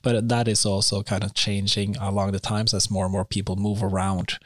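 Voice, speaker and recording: A Norwegian man hosting a relaxed conversational interview, easygoing and self-correcting as he talks. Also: on the low side, moderate pace, no room sound. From Norway. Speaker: podcast host.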